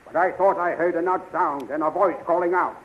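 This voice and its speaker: An actor playing a gruff, suspicious, scornful prison guard in a 1930s radio serial, barking and sneering. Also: male voice, a little high, fairly even intonation, a little fast, a bit bright, lightly acted. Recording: muffled, crackly 1930s radio recording.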